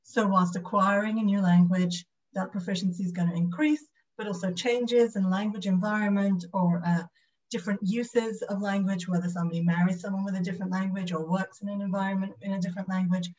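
A woman's voice: medium pitch, steady tone, fairly quick, a little mellow. British woman lecturing, slightly dull audio. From United Kingdom. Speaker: guest lecturer, speech and language pathologist. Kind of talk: academic lecture with slides. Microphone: video call.

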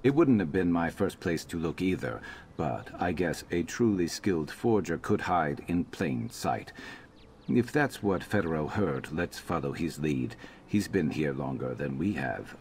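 A man's voice: rumbling low, expressive, measured pace, darkish tone, a little hiss or hum, full character voice. A man voicing a guarded reptilian outlaw in a fantasy video game, curt, dry and dismissive. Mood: wary.

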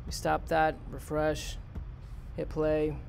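A man's voice: a little high, completely flat delivery, slow pace, creaky, a little mellow, a little hiss or hum. Man teaching a coding lesson over a screen capture. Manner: plain, step-by-step, talks students through it.

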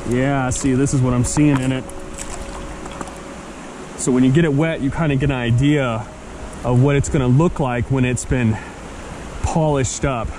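A man's voice: mid-range voice, fairly even intonation, normal speed, long pauses. A young man vlogging outdoors on a forest riverbank, talking eagerly to a handheld camera about the rocks he finds. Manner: enthusiastic, chatty, talks to camera and his dog. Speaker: vlogger, rockhound. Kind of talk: casual outdoor vlog narration. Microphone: action camera held at arm's length. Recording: noisy outdoor camera audio.

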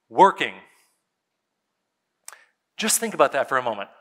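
A man, a pastor preaching a sermon on a church stage, conversational and emphatic, with dramatic pauses and soft drops in his voice. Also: high-pitched. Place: church stage before a seated congregation.

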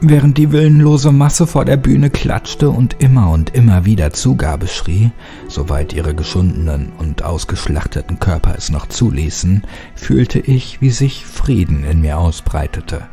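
Low, dark-voiced man narrating horror. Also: unhurried. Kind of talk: scripted dramatic narration. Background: music.

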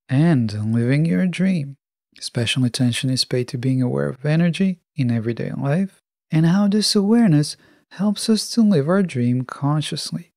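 A podcast host giving a scripted solo self-help monologue in measured, deliberate phrasing. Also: medium pitch, gravelly, a little mellow, no room sound, studio quality.

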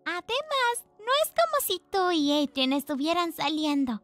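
A woman voicing a tiny, kindly bug sprite in a fan dub, gentle and encouraging, with a Latin American accent. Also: very high-pitched, very animated, moderate pace, no room sound, soft background music, exaggerated voice. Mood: teasing.

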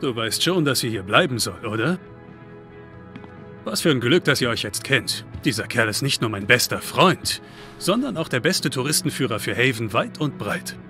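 Bright-voiced, friendly young man. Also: medium pitch, very animated, normal speed, faint music behind. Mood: upbeat, affectionate. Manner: casual, teasing, affectionate. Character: friendly, upbeat young man, protective big-brother type. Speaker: video game character. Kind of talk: video game dialogue.

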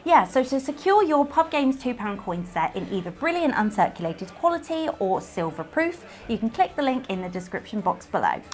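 A woman's voice: on the high side, sing-song, moderate pace, hardly any pauses, darkish tone. A British woman in her thirties presenting a scripted promotional piece to camera. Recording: clean voice over background music. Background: music.

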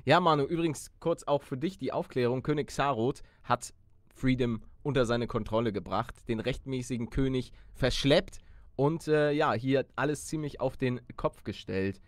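A young man giving casual, excitable gaming commentary and joking as he chats. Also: medium pitch, normal speed, no echo. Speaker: let's player.

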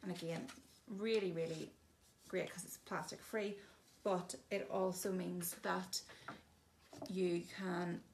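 A woman's voice: lowish voice, level tone, takes it slowly, darkish tone, a little hiss or hum. A woman giving a chatty, casual demonstration of bathroom products, explaining each one plainly with frequent ums. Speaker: shop presenter showing products.

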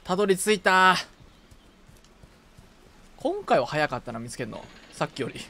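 A man's voice: sits high, very animated, normal speed, bright, forceful tone, a little hiss or hum. A man chatting casually while playing a co-op game, playfully repeating short phrases. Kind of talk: casual gaming chat.

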